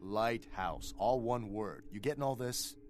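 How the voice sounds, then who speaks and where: An actor playing an irritable, sarcastic crooked cop in a video game, exasperated, wisecracking and bossy. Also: male voice, fairly low pitch, a little slow, darkish tone, natural voice. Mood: brisk, bossy.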